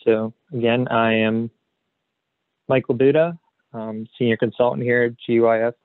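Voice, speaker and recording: A man presenting a software webinar as a consultant, explaining slides in a hesitant way padded with "you know". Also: medium pitch, flat delivery, moderate pace. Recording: phone-like narrow band, roomy echo.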